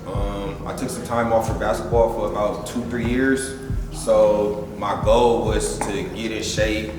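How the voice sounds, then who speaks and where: Chill low-voiced guy from the bay, casual interview answers, big echoey room with music playing. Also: little pitch movement, unhurried, recorded from a distance. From San Francisco Bay Area. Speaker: gym member interviewee. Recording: echoey hall with music behind.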